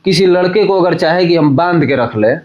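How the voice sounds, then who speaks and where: A man's voice: fairly high pitch, animated, normal speed, a little mellow. A man with a Bhojpuri accent talking casually and emphatically as an interview guest, repeating phrases and mixing Bhojpuri into Hindi. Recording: dull lo-fi sound.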